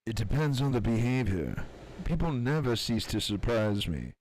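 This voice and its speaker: A man acting as a thoughtful, philosophical scientist in an audio drama, speaking in a measured, explanatory, reflective way. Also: medium pitch, very animated, darkish tone, low-quality recording.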